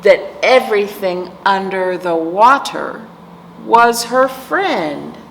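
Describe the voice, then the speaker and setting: Expressive woman reading storytime in an echoey room. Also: medium pitch, slow. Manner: expressive, sing-song reading with questions to young listeners. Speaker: children's librarian, storytime host. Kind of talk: read-aloud storytime for young children. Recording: echoey reverberant room, clear background noise.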